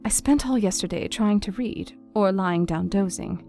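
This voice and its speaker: An actress playing a gentle, weary, anxious young Victorian wife, confiding a diary in an audio drama. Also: female voice, medium pitch, moderate pace, soft background music, natural voice. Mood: weary, tearful.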